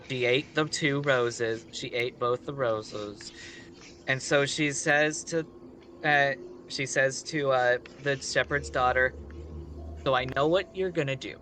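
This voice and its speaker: A man's voice: medium pitch, unhurried, bright, forceful tone, a little hiss or hum. A man telling a story casually on a live stream, rambling with conversational asides.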